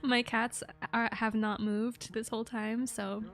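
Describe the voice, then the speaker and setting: A woman's voice: a little high, little pitch movement, unhurried, very noisy. A woman hosting a casual streamed chat, giggly and laughing often. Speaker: host. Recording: music playing behind.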